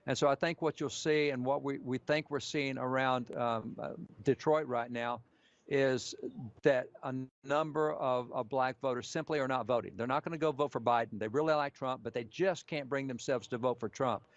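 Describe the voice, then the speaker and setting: A man's voice: mid-range voice, fairly even intonation, moderate pace, no room sound. A man working as an election analyst, giving live commentary on incoming figures in an analytical, carefully qualified way. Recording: dry, muffled lo-fi feed.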